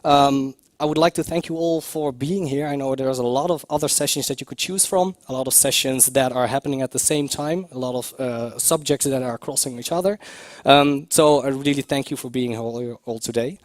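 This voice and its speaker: A man giving a conference talk, a little hoarse from a cough, hesitant with many fillers and restarts. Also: medium pitch, normal speed, dry recording. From Netherlands. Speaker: conference speaker. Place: lecture room at a tech conference.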